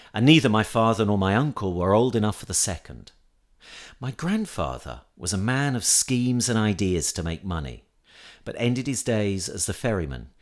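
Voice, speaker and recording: A man reading a reflective personal essay aloud in a measured, thoughtful manner as scripted narration. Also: lowish voice.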